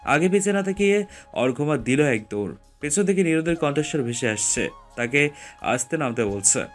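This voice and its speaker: A man's voice: a little high, expressive, normal speed, soft background music. A man reading scripted story narration as the narrator.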